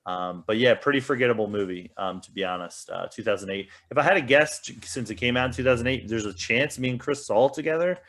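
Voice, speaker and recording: A man's voice: mid-range voice, fast talker, few pauses, a bit bright. A man co-hosting a podcast, chatting casually about films and games. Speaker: podcast co-host. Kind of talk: casual movie and game review chat.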